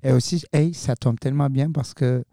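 A man's voice: mid-range voice, fairly even intonation, moderate pace, creaky, dark tone, dry recording, clean studio sound. A man hosting a radio podcast, interviewing casually and informally, with English interjections dropped into his French.

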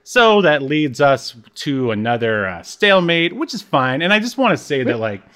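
A man's voice: medium pitch, very animated, normal speed, fairly bright tone. A man hosting a podcast, chatting casually in a wry, jokey way. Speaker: podcast host. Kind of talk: casual chat.

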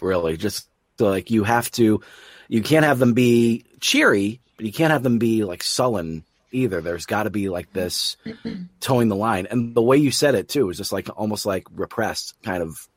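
Husky, low-pitched male interviewer. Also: lively intonation, normal speed, no room sound. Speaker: interview host and producer. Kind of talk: casual interview.

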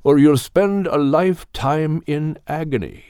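A man voicing a wise, gentle older male animal mentor in an audiobook, speaking in a measured, firm but kindly way. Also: a little high, smooth, no room sound, clean studio sound, voice pushed up. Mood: gentle, consoling. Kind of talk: audiobook character voice.

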